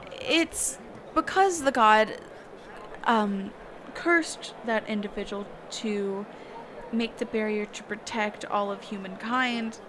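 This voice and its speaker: A woman's voice: on the high side, noisy background. A woman playing a kind, reclusive magic teacher in an intimate roleplay audio, speaking hesitantly, flustered and trailing off. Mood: flustered, explaining. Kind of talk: intimate character roleplay audio, one-sided conversation.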